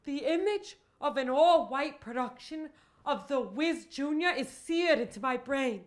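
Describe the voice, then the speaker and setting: A haunted, melodramatic woman, a comic character acted by a woman confesses her theater trauma. Her voice is high and her delivery is overwrought and theatrical. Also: animated, measured pace. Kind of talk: mock-confessional comedy monologue.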